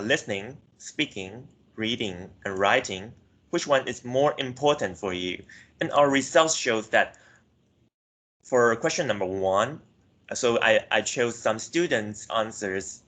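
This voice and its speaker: A young man with a fairly low voice presents research findings to a class. He speaks hesitantly, leaning on filler words and often correcting himself mid-sentence. He grew up in Myanmar. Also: a bit bright. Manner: hesitant, frequent "like" and self-corrections. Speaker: student presenter.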